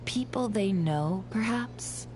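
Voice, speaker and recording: A woman playing a composed, shrewd intelligence broker in a video game, measured and articulate. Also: mid-range voice, animated, a little mellow, slight background noise. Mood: cool, businesslike. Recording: music under the voice.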